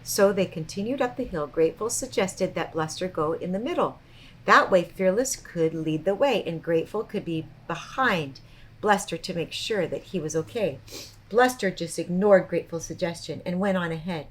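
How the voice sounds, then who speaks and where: A woman storyteller reading a picture book aloud to children in an expressive, child-directed way. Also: on the low side, normal speed.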